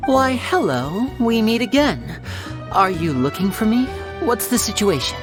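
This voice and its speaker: A man voicing a smooth, playful young stage magician in a video game, theatrical and teasing, over game music. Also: high-pitched, sing-song, unhurried.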